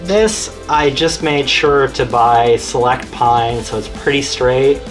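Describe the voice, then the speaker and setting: A man with a medium-pitched voice hosts a hands-on DIY tutorial. He talks informally and in an easygoing way, as if explaining to a friend. Music plays clearly behind his voice. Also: takes it slowly. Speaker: host of a DIY build tutorial. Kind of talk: casual hands-on tutorial talk.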